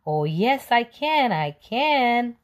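A woman's voice: a little high, big pitch swings, slow pace, voice pushed up. A woman voicing a cheeky, boastful little gingerbread man in a sing-song storybook read-aloud, with an odd little voice. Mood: gleeful taunting. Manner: sing-song chanting, taunting.

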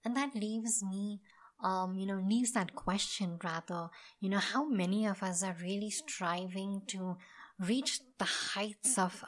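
A woman hosting a devotional podcast, talking in an earnest, reflective way with frequent fillers and restarts. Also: mid-range voice. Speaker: podcast host.

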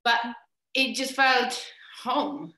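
A woman's voice: fairly high pitch, extremely slow, bright, forceful tone, reverberant. A woman in her thirties from Argentina, hosting a panel over a video call from home and talking thoughtfully, searching for words. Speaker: host, moderator. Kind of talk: conversational panel discussion. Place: home room with bookshelves.

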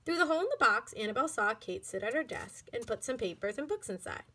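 A woman narrates a children's story as a teacher would to pupils. Her voice is fairly high with animated swings in pitch, and her delivery is expressive and deliberate. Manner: expressive, deliberate read-aloud. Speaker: elementary school teacher reading aloud.

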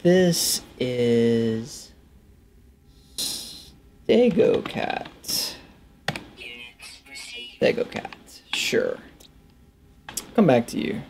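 A man's voice: medium pitch, very animated, extremely slow, creaky, long pauses, darkish tone, faint music behind. A man in his thirties giving casual, enthusiastic let's play commentary, musing to himself as he plays. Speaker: gaming video host. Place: indoor room at a desk.

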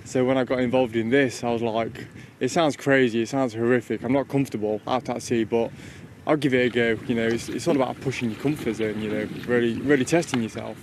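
A veteran team member answering interview questions in a plain, conversational way. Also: male voice, medium pitch, fairly even intonation, fairly quick, a little hiss or hum.

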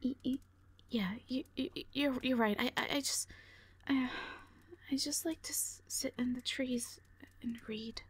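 A woman's voice: high voice, steady tone, measured pace, breathy edge, full character voice. A woman playing a shy, anxious young owl creature with a heavy stutter, in an audio roleplay drama.

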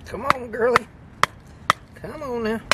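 A man's voice: very high-pitched, measured pace. A man in his thirties vlogging while camping in a tent in the woods at night, exclaiming and joking in a casual way. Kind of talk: casual solo vlog narration. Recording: wind and outdoor noise behind.

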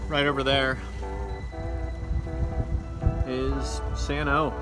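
A man in his thirties talks slowly and easily about the trip, throwing in fillers as he goes. He speaks into a phone held out at arm's length on a sailboat deck, with loud music under his voice. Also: medium pitch. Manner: relaxed, easygoing commentary with fillers. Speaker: sailing vlogger. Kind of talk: casual travel vlog narration. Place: outdoors on a sailboat at sea.

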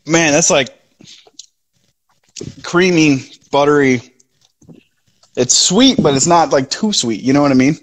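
A man's voice: a little high, a little slow, pauses often. A man in his thirties, co-host of a whiskey review show, chatting casually and enthusiastically about a bourbon on a phone video call from outdoors. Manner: relaxed, enthusiastic about flavors. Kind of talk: casual tasting chat. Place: outdoors in a backyard.

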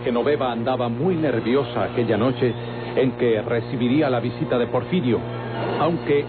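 A man's voice: mid-range voice, moderate pace. A man with a Mexican accent reading scripted narration for an old radio drama. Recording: tinny old radio recording, music behind.

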